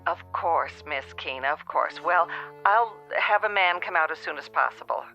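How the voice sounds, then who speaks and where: A woman's voice: low voice, normal speed, pushed and bright, faint music behind. A woman playing a patient but unhelpful telephone operator in a radio drama, businesslike and placating, heard through a phone filter. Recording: thin, band-limited telephone-line sound.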